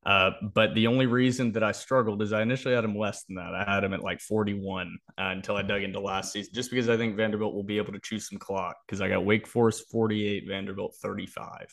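A man's voice: on the low side, fairly even intonation, quick pace, creaky, hardly any pauses, a bit bright. A man co-hosting a sports podcast, talking through analysis and predictions in a casual, explanatory way.

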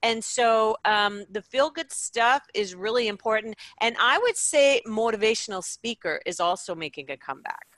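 A woman's voice: a little high, lively intonation, normal speed, few pauses, a bit bright, no echo. A middle-aged woman answering interview questions, explaining her business plainly. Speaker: guest, speaker coach.

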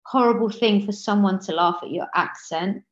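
A woman's voice: mid-range voice, moderate pace. Earnest Englishwoman with a dull lo-fi sound. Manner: earnest, many restarts and self-corrections. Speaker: guest author. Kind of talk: casual interview conversation. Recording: slightly dull lo-fi audio.

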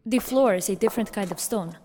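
A woman's voice: medium pitch, quick pace, dark tone, natural voice. A woman playing a reserved, observant special agent in a science fiction audio drama. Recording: long hall reverb, music underneath.

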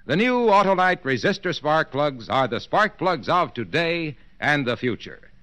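A man with an American accent giving a punchy, emphatic sales pitch as a 1940s radio commercial announcer. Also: sing-song, a little slow, bright, forceful tone. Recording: vintage 1940s radio broadcast.

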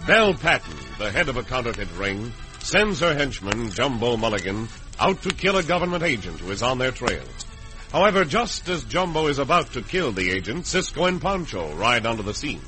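Dramatic American radio announcer, bright male voice. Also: medium pitch, sing-song, fairly quick, soft background music, rough recording. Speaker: announcer and narrator. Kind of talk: dramatic 1950s radio narration.

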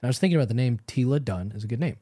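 A man's voice: medium pitch, animated, racing, dark tone, no room sound, clean studio sound. A man in his twenties co-hosting a podcast, rambling and swearing freely in casual chat. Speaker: podcast co-host.

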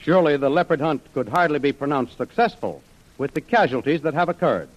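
A man's voice: a little high, lively intonation, a little fast. A man narrating a 1930s radio adventure serial as its announcer, reading from a script. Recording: dull old radio recording with music. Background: music.

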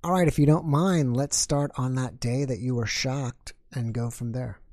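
A man's voice: medium pitch, fairly even intonation, moderate pace, a little mellow, no echo, clean studio sound. A man hosting a podcast, introducing and welcoming his guest politely. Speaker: podcast host. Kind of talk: interview.

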